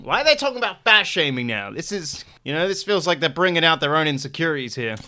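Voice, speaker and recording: A young British man hosting a reaction video from his bedroom, making sarcastic, joking asides and breaking into sudden loud outbursts. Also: a little high, very animated, quick pace. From Britain. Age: young adult. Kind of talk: reaction commentary. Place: small bedroom at home. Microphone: studio mic on boom, wearing headphones.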